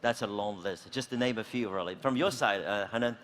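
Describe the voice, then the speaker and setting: A man's voice: on the low side, fairly quick, a bit bright, a little hiss or hum. A man moderating a conference panel, asking long, rambling questions full of fillers. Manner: rambling, filler-heavy questions with encouraging backchannels.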